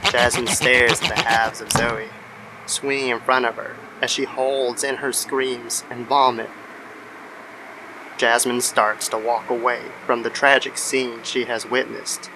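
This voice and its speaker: A man's voice: medium pitch, flat delivery, unhurried. A man narrating a scripted horror story as the storyteller of an audio drama. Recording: clear background noise under the voice.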